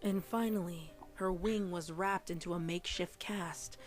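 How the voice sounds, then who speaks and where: A woman's voice: on the low side, fairly even intonation, measured pace, faint music behind. A woman narrating a story in a scripted fan fiction reading.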